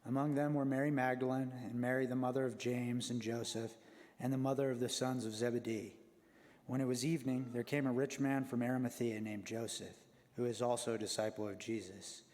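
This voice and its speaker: A man narrates scripture in a church dramatic reading. His voice is medium in pitch and carries a long reverb from the sanctuary. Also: steady tone, brisk, a little mellow. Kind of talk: church readers' theater narration.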